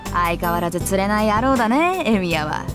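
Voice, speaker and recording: Woman voicing a brash, hugely animated tomboy schoolgirl. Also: medium pitch, racing, close to an everyday voice. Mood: amused, teasing. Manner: breezy, blunt, boyish speech. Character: brash, teasing tomboy schoolgirl, confident big-sister type. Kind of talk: Japanese visual novel voice acting. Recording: music clearly behind the voice.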